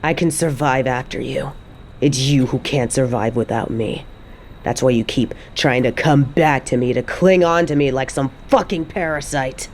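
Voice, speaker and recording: A woman's voice: extremely low pitch, moderate pace, darkish tone, slight background noise. A woman playing a fed-up, defiant mother confronting her toxic ex in a dramatic audio roleplay, scornful and emphatic.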